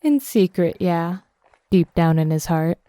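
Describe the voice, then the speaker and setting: A tough woman in her twenties who kills zombies and teases everyone. Her voice is fairly low and mellow, recorded dry, and her audio drama delivery is deadpan and brisk. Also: no echo. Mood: teasing. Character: tough, sardonic twenty-five-year-old woman, cocky zombie killer. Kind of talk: audio drama acting, casual dialogue.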